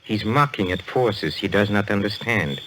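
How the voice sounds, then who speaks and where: An actor playing a suave, menacing spirit medium, theatrical and courtly, in an old radio thriller. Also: male voice, fairly low pitch, animated, moderate pace, a bit bright. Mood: ominous. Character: suave, menacing foreign male spirit medium, mockingly polite. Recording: noisy old radio drama recording.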